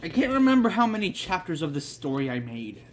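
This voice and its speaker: A man's voice: on the high side, big pitch swings, a little fast, a little hiss or hum. A man reading a story aloud in an amateur way, with rambling, informal asides and some swearing. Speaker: reader of a self-made manga story. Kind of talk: amateur story read-along with casual asides.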